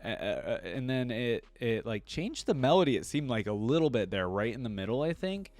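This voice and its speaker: A man's voice: mid-range voice, very animated, brisk, darkish tone, no room sound. A man giving casual, enthusiastic reaction commentary as a video creator, in an informal, exclamatory way. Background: orchestral music from the track being reviewed.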